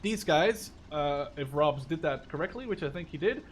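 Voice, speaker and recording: A man's voice: on the high side, lively intonation, moderate pace. A man in his twenties from the Netherlands giving a casual, rambling, enthusiastic game overview with informal language. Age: 20s. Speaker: gaming video creator. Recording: clear background noise.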